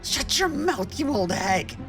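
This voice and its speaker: A woman playing a fiercely protective, defiant wife and mother in an audio drama, speaking forcefully. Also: high-pitched, sing-song, slow, over music, exaggerated voice. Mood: angry. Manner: forceful, emphatic.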